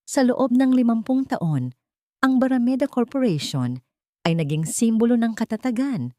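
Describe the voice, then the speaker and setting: A smug rich husband making a grand speech full of fake humility, as a woman storyteller plays him. The lines come raised in pitch and a bit louder than her narration, and they sound dry and close to the mic. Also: very animated, normal speed, no room sound. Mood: falsely humble. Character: smug corporate heir husband, falsely humble.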